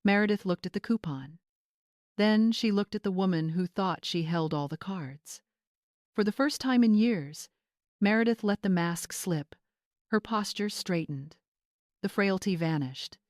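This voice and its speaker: A woman's voice: mid-range voice, unhurried, a little mellow, no room sound, clean studio sound. A woman reading scripted, dramatic story narration as a storyteller.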